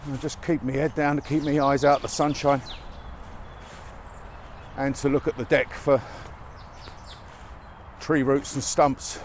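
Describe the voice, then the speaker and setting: A man's voice: mid-range voice, level tone, a little slow, long pauses. Guy vlogging on a country walk, chesty with a cold, bit puffed out, faint traffic hiss behind, chest mic. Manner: chatty, wry, a little out of breath. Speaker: walking vlogger. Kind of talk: casual walk-and-talk narration. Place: outdoors by a busy motorway in winter fields. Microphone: chest-worn mic.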